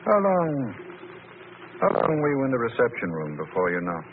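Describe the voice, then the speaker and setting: An actor playing a scheming, domineering man who covers up a crime, in 1940s radio drama style with halting, insinuating speech. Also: medium pitch, huge pitch swings. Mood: suspicious, menacing. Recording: noisy, narrow-band old radio recording.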